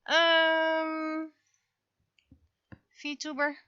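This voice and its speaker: A woman livestreamer chatting casually and haltingly, muttering to herself as she thinks aloud, on dull lo-fi audio. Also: sits high, monotone, sharp tone, no echo. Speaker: livestreamer, webcomic artist.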